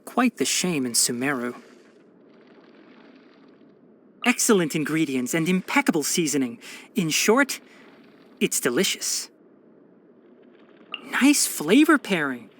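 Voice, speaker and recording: Young fox-eared forest ranger for a video game, brainy n dry, bookish wording, pitch moves around a lot. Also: male voice, very animated, measured pace, long pauses, a bit bright, slight background noise. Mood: calm, explanatory. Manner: articulate, bookish, dryly sardonic. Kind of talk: scripted video game character voice lines.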